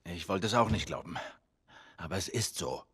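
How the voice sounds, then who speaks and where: Gruff, husky middle-aged survivor, reverberant. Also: male voice, low-pitched, expressive, normal speed, fairly bright tone, heavily acted. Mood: guarded, insistent. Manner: terse, insistent, easily exasperated. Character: gruff, weary, stubborn middle-aged male survivor, older brother. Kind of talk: dramatic video game acting.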